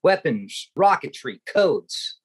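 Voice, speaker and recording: An actor playing an earnest, respectful American intelligence agent with a husky voice in a radio play. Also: male voice, fairly high pitch, big pitch swings, slow, full character voice. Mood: earnest, formal. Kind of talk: Cold War radio play acting.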